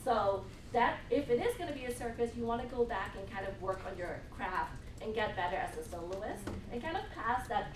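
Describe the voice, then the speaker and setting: A woman giving a casual live training talk to a group as presenter and interviewer, often checking in with listeners. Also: medium pitch. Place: indoor meeting room with an audience. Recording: echoey, noisy room, far from mic.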